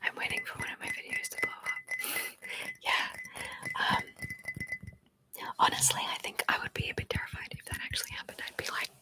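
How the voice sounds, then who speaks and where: A woman's voice: a little fast, long pauses. A young woman, an ASMR creator, whispering as she rambles playfully, giggles and reads out viewer comments.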